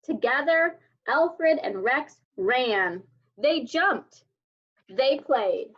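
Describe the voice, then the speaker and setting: A woman reading a children's picture book aloud as a storyteller, with expressive, deliberate delivery. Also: high voice. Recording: dull lo-fi sound.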